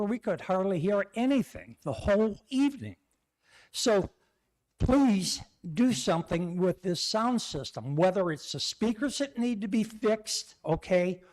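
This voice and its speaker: A man's voice: high-pitched, a little mellow. A man speaking as a public commenter at a town board meeting, rambling and tagging his points with "okay". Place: town hall meeting room. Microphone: podium microphone.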